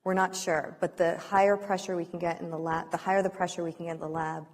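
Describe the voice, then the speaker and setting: A woman's voice: on the low side, level tone, fast talker. A woman scientist giving an unscripted science lecture, hesitant as if thinking aloud. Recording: echoey hall reverb.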